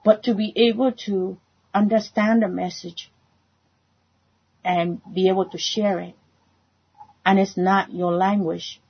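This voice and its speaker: Husky native-Spanish woman giving testimony. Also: mid-range voice, steady tone, a little slow, long pauses. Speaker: church member sharing a testimony. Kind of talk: spontaneous personal testimony. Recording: muffled lo-fi audio.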